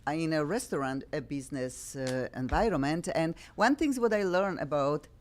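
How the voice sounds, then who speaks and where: A middle-aged woman from Poland with a Polish accent, hosting a talk show in an effusive, animated way. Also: sits low, normal speed. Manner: effusive, bubbly, animated, frequent laughs. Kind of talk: excitable talk show chat.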